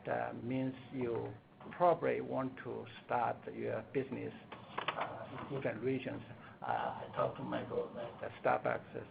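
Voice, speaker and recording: Man with a super gravelly voice, stumbles and repeats words, tinny phone-like sound with hiss. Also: mid-range voice. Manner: halting, repeats words, non-native grammar. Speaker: panelist. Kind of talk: seminar panel talk. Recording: muffled phone-like conference recording with hiss.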